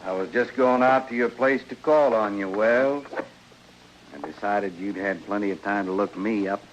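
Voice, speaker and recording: A man's voice: on the low side, moderate pace, lightly acted. Dull hissy old radio recording, actor as vengeful male gunslinger, taunting, confident, threatening, western drama. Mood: taunting menace. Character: menacing vengeful gunman in the Old West. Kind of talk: 1950s radio western acting.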